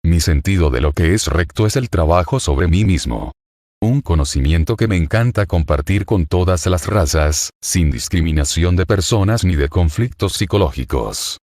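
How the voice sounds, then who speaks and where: A man from Argentina with an Argentine accent, a psychoanalyst reading a scripted self-interview in a solemn, deliberate, self-assured way. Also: sits low, expressive, darkish tone, no echo, studio quality. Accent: Argentine Spanish.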